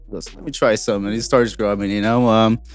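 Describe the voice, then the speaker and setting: A young man with a lowish voice talks in a relaxed, rambling way, full of "um" and "you know." Faint music plays softly behind him. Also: a little fast. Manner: relaxed, rambling, many fillers. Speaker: guest, street taco vendor. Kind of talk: casual podcast chat.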